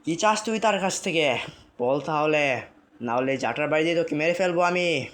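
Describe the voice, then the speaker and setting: A performer plays a bossy village exorcist man from a cartoon. He speaks in commanding, scolding tones at a middling pitch, like someone used to ordering others about. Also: expressive, normal speed, a bit bright, natural voice. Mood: threatening. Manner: commanding, insulting, chanting. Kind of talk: amateur cartoon voice acting.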